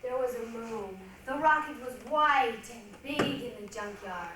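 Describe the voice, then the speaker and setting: A woman's voice: on the high side, measured pace. A woman giving dramatic scripted narration in a readers' theatre style. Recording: echoey hall, distant mic, faint noise.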